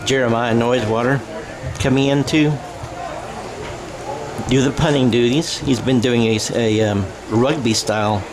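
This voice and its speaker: Male football play-by-play announcer, folksy and rambling, correcting himself as he goes, recorded outdoors with clear ambient noise. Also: mid-range voice, fairly even intonation, normal speed, long pauses. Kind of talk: radio-style football commentary.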